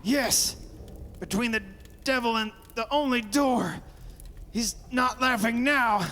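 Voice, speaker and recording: A man's voice: very high voice, slow, sharp tone, exaggerated voice. An actor playing a desperate, brave man in a radio play, speaking with theatrical urgency. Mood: grim urgency. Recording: reverberant, noisy radio drama recording.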